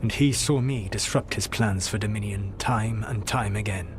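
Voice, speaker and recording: An actor playing an ancient, weary and proud elven trickster god in a video game, speaking formally in measured, deliberate phrases. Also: male voice, on the low side, noisy background, natural voice. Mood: grave, reflective. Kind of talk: dramatic video game dialogue.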